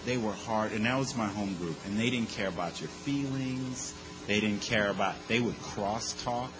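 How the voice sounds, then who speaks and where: Low-voiced man telling a funny story. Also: music in the background, rough recording. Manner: animated, conversational storyteller with quick asides. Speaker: speaker sharing his recovery story. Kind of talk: humorous personal storytelling to a group.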